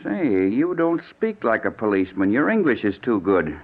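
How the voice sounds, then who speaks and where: A man's voice: on the low side, big pitch swings, moderate pace. An actor playing a nervous, frightened man who stammers and pleads, in 1940s radio drama style. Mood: suspicious, nervous. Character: frightened man pleading for his life, panicky and suspicious. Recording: thin, narrow-band vintage radio recording.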